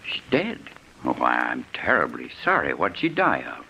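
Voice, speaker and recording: Nervous, hesitant stockbroker, low and forceful. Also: male voice, wildly swooping pitch, moderate pace, vocal fry, exaggerated voice. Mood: uneasy, placating. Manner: hesitant, stammering, flustered. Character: nervous dapper stockbroker in his forties, evasive and guilty. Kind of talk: 1940s radio drama acting. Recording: noisy old radio recording.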